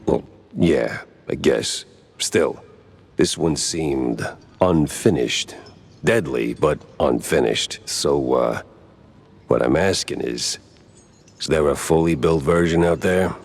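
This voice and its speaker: An actor playing a world-weary, wisecracking mouse private detective in a noir video game, gruff, gravelly and deadpan. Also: male voice, rumbling low, slow pace, heavily acted. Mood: wry. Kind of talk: noir game cutscene acting.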